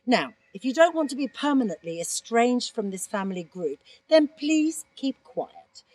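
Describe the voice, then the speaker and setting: A woman's voice: on the high side, sing-song, moderate pace, a little mellow, dry recording. A woman narrating comically in a haughty, dramatic way. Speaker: author reading a book aloud in character.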